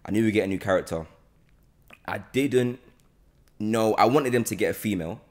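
A man's voice: lowish voice, normal speed. A young adult man with a London accent hosting a casual chat, playful and repeating phrases for emphasis, on a close podcast mic. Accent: London British. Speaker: podcast host, actor. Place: bright indoor open-plan room on a sofa. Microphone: close podcast mic on boom arm.

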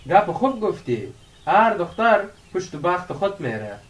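A man's voice: high-pitched, big pitch swings, recorded from a distance, dull audio. An actor playing a curious, impatient young village man who prods his friend conversationally in a radio drama. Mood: curious, prodding. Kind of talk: radio drama acting in dialogue.

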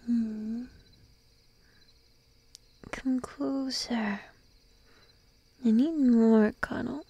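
A shy, clingy monster-girl girlfriend played by a woman murmurs in a breathy, very soft whisper. Her delivery is slow and even, drowsy and playfully coaxing, like an intimate ASMR roleplay, recorded close and dry. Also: on the high side, dry recording, lo-fi sound, exaggerated voice. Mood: tender, affectionate. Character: shy, needy, teasing young sheep-girl girlfriend. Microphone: close microphone, dry.

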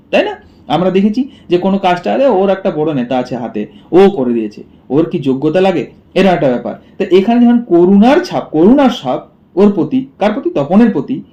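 A man's voice: high voice, warm tone. A male teacher explains a story line by line, repeating phrases and posing questions to himself, on dull, muffled audio. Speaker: school literature teacher.

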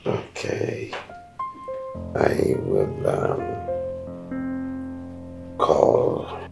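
An actor with a Nigerian accent playing a solicitous middle-aged man in a film drama, gravelly and deliberate. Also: extremely low pitch, expressive, heavily acted. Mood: courting, attentive. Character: solicitous older man, attentive and persistent. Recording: music behind the voice.

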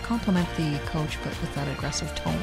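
A woman co-hosting a morning radio show, asking quick playful questions. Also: deep voice, lively intonation, gravelly, over loud music. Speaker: radio co-host. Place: radio studio.

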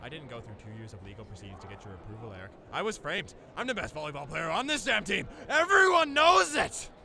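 A man's voice: fairly high pitch, very animated, quick pace, gravelly, sharp tone, heavily acted. A man voicing an arrogant, hot-tempered teenage athlete, pompous and melodramatic, in a comedic anime parody. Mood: indignant. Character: brooding, arrogant, hot-tempered teenage male volleyball player. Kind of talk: melodramatic comedic fan-dub acting. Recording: music under the voice.

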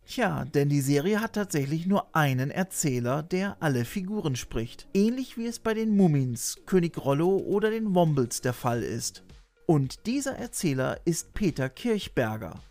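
A man's voice: on the high side, very animated, moderate pace, darkish tone. A middle-aged man hosting and narrating a scripted video essay, recorded indoors on a desk microphone. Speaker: host and narrator. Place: small room lined with shelves, indoors. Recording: music behind the voice.

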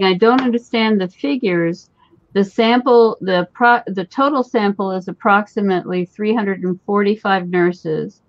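A woman's voice: medium pitch, dry recording. A woman moderating a question session over a video call, friendly and organized. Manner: friendly, organized, a few restarts. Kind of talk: moderating online Q&A. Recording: dry dull video call audio.

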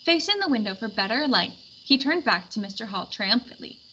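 A woman reading a story aloud as narrator, with expressive scripted delivery. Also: a little high, fairly quick. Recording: dull lo-fi recording with background noise.

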